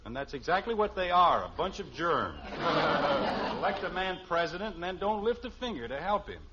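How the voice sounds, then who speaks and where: A dull vintage radio recording carries a self-important American family man, middle-aged and easily exasperated. His voice is on the high side and swings widely as he puffs himself up and grumbles in sitcom style. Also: big pitch swings, a little fast, background noise. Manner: blustering, pompous speechifying broken by sarcastic grumbling. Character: exasperated, self-important suburban husband and father. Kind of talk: 1950s radio sitcom acting.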